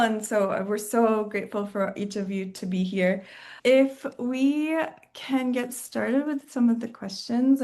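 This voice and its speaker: Woman panel moderator, friendly, with a gravelly voice. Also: mid-range voice, a little mellow. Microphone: video call.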